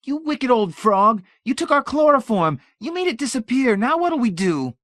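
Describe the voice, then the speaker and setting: A man's voice: very high-pitched, sing-song, normal speed, a bit bright, no echo, clean studio sound, pitched above the performer's own voice, exaggerated voice. A man doing a shrieking, hysterical young man. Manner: wailing, shrieking.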